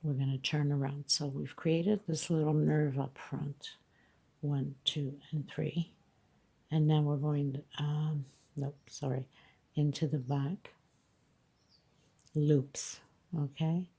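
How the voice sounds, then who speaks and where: A woman's voice: low voice, level tone, takes it slowly, gravelly, long pauses, warm tone, husky. A woman teaching crochet step by step, counting stitches patiently, her voice congested. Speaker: crochet instructor. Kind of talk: step-by-step craft tutorial.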